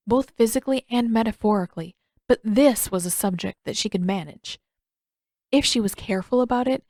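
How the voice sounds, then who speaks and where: A woman's voice: medium pitch, darkish tone, no echo, clean studio sound. A woman reading scripted fiction aloud as an audiobook narrator.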